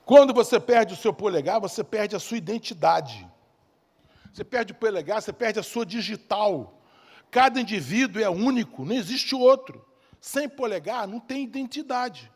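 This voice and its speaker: A man with a Rio de Janeiro Brazilian accent and a husky voice preaches an evangelical sermon emphatically, repeating key phrases and asking rhetorical questions. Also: sits high, expressive, moderate pace. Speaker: preacher, bishop. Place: church service in a large hall. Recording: reverberant hall sound.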